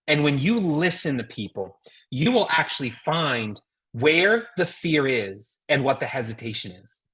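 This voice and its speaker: A woman's voice: sits low, sing-song, a little slow, a bit bright, slightly rough. A woman with an American accent giving a motivational sales coaching talk as a trainer, emphatic and folksy, with rhetorical questions. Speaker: network marketing trainer.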